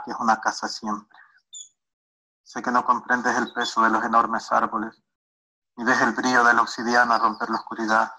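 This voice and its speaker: A man's voice: mid-range voice, sharp tone, rough recording. A man reading his own poem aloud, played back as a recording. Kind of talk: poetry reading.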